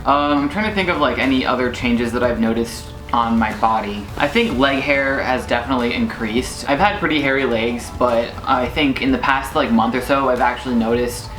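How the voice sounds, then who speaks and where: A man's voice: mid-range voice, normal speed, few pauses, music in the background. Young man, chatty, in an echoey room. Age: young adult. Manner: chatty, loose, many filler words. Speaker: vlogger. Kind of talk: casual video diary.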